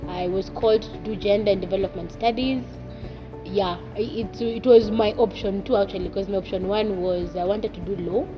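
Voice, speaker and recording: A young Kenyan woman tells her own story in an easygoing, chatty way, poking fun at herself. Her voice sits at an ordinary middle pitch. The sound is dull and lo-fi, and loud music plays underneath. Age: 20s. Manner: chatty, laughs at herself, drops in Swahili asides. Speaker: guest. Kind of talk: personal life story.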